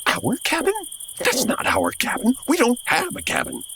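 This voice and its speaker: A woman's voice: high voice, very animated, normal speed, creaky, a bit bright, slight background noise, full character voice. A woman playing an exasperated, fussy radio sponsor man, dry and indignant, in a comedy audio drama. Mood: alarmed, testy.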